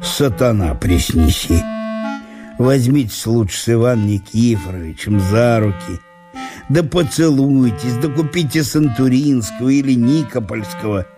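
Folksy peacemaking judge played by a male actor, familiar, exclamatory, cajoling, dark mellow tone, radio drama. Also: mid-range voice, expressive, unhurried, faint music behind, natural voice. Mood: coaxing. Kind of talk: theatrical audio-play monologue.

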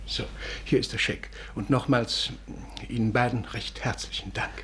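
An actor in a 1950s German radio drama playing a pompous, delusional elderly gentleman, formal and slightly scatterbrained. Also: medium pitch, steady tone, moderate pace, lightly acted. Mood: gracious, self-important. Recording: noisy 1950s radio recording.

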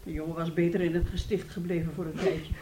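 An actress playing a troubled, soothing aunt in a Dutch radio play, telling things in a halting, emotive way. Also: female voice, on the low side, level tone, warm tone, a little hiss or hum, close to an everyday voice. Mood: sorrowful recollection. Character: troubled, soothing aunt and mother of a disturbed boy.

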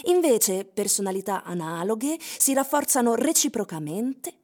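An actress delivering scripted dramatic narration of a tense literary story for a radio play. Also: female voice, a little high, sing-song, a little slow, dark tone. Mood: measured, solemn. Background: music.

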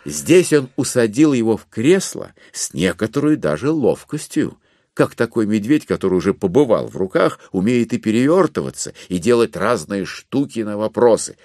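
Deep, animated male storyteller. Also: moderate pace, dry recording, studio quality. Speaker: narrator. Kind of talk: expressive literary narration in an audio drama.

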